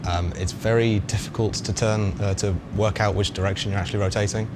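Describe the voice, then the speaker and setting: A man's voice: lowish voice, steady tone, brisk. A man giving casual explanations as a developer, thinking aloud with some hesitation. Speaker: game developer guest answering questions. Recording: clear vehicle and ambient noise behind.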